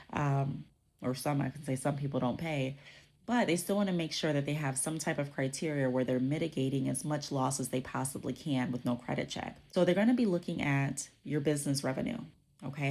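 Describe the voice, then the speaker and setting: A woman's voice: low voice, a little fast, darkish tone. A woman hosting her own podcast, explaining business topics in a conversational way while congested from a cold, often checking in with "okay?". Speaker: podcast host.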